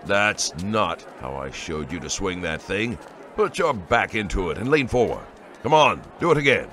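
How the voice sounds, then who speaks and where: A man's voice: deep voice, very animated, normal speed, pushed and bright, slight background noise, heavily acted. An actor playing a middle-aged soldier and father in an audiobook drama, blunt and commanding but loving. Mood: stern. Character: gruff older soldier father, stern but loving husband.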